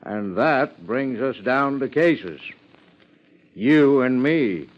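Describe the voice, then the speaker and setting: An actor playing a folksy, plain-spoken small-town amateur sheriff in a 1950s radio drama, rambling in an unhurried way. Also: male voice, mid-range voice, lively intonation. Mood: folksy, puzzled. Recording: muffled 1950s radio recording.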